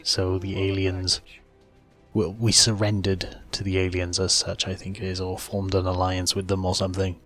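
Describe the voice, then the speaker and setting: A man's voice: deep voice, monotone, normal speed, fairly bright tone. A man hosting a gaming video, giving plain step-by-step explanatory commentary on the gameplay. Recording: faint game music behind voice. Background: game soundtrack.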